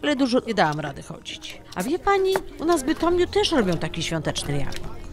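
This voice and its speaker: A plain-spoken older Polish mother in a radio play. She is folksy and blunt, her pitch moving animatedly over faint music. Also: huge pitch swings, brisk. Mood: impressed, chatty. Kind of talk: audio drama acting.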